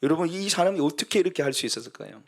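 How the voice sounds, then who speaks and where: A man's voice: a little high, lively intonation, very fast, vocal fry. A man who is a pastor, preaching a sermon in a storytelling way, acting out quoted voices and building to emphatic exhortation.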